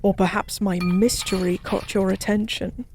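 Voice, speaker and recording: A woman voicing a lonely, drunk fallen goddess in a soft-spoken audio roleplay, musing and rambling with weary melancholy. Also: medium pitch, steady tone, moderate pace, a little hiss or hum, lightly acted. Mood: intrigued, wry.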